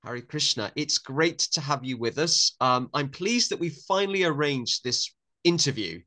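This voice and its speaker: A man's voice: on the high side, animated, moderate pace, bright, forceful tone. A man hosting a conversational interview podcast, talking in a polite, informal, chatty way. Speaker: podcast host.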